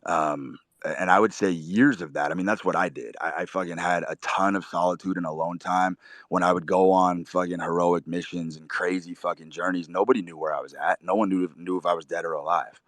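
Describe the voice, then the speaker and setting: Very deep-voiced man, blunt and profane. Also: steady tone, a little fast, dry recording, clean studio sound. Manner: forceful, swears constantly, preachy. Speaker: show host. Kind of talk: blunt profane motivational talk.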